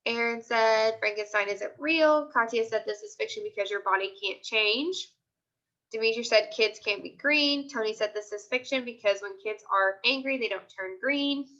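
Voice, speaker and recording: High, bright-voiced woman teacher. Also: normal speed, muffled recording. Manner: prompting with repeated questions and short commands. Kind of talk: live online class discussion.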